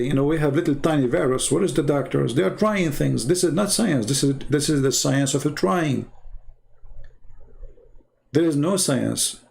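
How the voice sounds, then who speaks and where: Man, gravelly voice, rambling, scornful, middle pitch. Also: darkish tone. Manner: rambling, scornful, repeats himself. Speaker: online commentator. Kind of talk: casual monologue.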